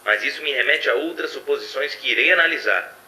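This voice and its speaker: A man's voice: medium pitch, fast talker, bright, forceful tone, recorded from a distance, a little hiss or hum, low-quality recording. A man playing an earnest, self-doubting artificial intelligence, giving formal reports in an audio drama. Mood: clinical, uneasy.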